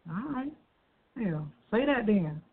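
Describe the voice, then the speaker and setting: Chatty slangy interview host, quick to laugh, over a tinny phone line. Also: medium pitch, very animated.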